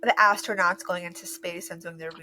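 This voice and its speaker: A woman's voice: fairly low pitch, fairly even intonation, fairly quick, sharp tone, slight background noise. A woman hosting a podcast, asking hesitant questions full of fillers. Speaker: podcast host. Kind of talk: casual conversation.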